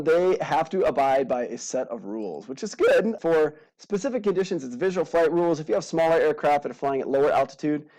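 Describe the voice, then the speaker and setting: Mellow-voiced man teaching casually. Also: a little high, poor audio. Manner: playful, casual asides. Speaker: instructor. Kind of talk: informal aviation lesson narrated over slides.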